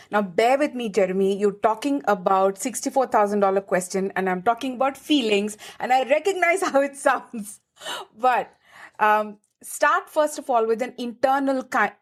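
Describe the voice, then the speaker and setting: A woman with an Indian accent, a lawyer and advisor, giving earnest, emphatic answers as a podcast guest. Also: on the high side. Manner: earnest, emphatic, lively explaining. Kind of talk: podcast interview.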